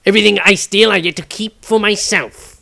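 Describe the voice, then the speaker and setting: A man's voice: high-pitched, steady tone, a little fast, vocal fry, voice pushed up, heavily acted. A man reading a story aloud, voicing a smug, arrogant male halfling thief who talks sharply. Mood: snappish. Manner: sharp, snappish.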